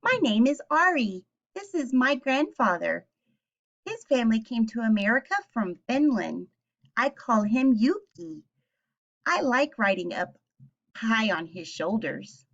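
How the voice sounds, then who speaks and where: A woman teacher reads aloud to young children in a fairly high voice with very animated, swooping intonation. She explains things simply and puts questions to her listeners. The recording is a little dull and lo-fi. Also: unhurried, vocal fry. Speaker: teacher reading a picture book aloud.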